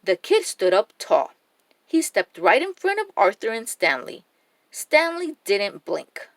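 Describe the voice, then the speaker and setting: Slow, lively woman reading a children's story. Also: medium pitch, no echo. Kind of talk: expressive read-aloud storytelling for young children.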